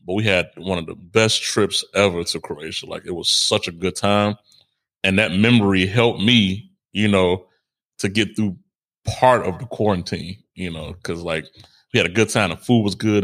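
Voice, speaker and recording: A man's voice: deep voice, steady tone, normal speed. A young man, co-hosting a casual talk show, talking loosely with lots of fillers, restarts and "you know what I'm saying". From United States. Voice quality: strong, loud voice. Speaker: podcast co-host. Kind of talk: casual conversation.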